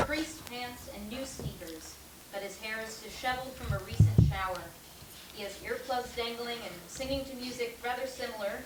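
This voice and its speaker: A woman reading stage directions as scripted narration. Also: on the high side. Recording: echoey, distant, loud music behind.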